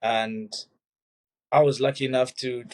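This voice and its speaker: A young man from South Africa chats as a guest in a casual interview. He talks in a loose, easygoing way, leaning on small fillers as he goes. His voice sits at an ordinary middle pitch. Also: a little slow. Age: young adult. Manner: relaxed, loose, many "like" fillers. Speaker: college soccer player, guest.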